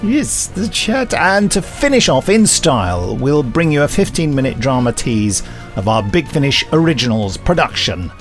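Wry self-mocking male podcast host. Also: medium pitch. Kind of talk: casual podcast chat.

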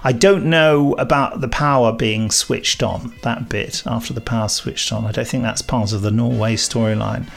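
A man's voice: mid-range voice, steady tone, normal speed, gravelly, hardly any pauses. A middle-aged man hosting a podcast in casual chat, reading listener emails with wry, bantering asides. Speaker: podcast host, audio drama director and composer.

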